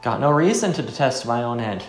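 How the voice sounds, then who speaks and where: A man's voice: mid-range voice, sing-song, brisk, close to an everyday voice. A man voicing a bold wandering fighter in a visual novel game, stoic, earnest and defiant. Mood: resolute, calm. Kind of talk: amateur visual novel voice acting. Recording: roomy with faint music.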